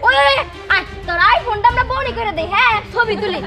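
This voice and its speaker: A woman's voice: very high-pitched, expressive, fairly quick, recorded from a distance, music in the background. A woman voicing a mocking, boastful young bully in a cartoon comedy, with a Bangladeshi accent and a taunting delivery. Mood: excited. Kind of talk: cartoon comedy drama dialogue.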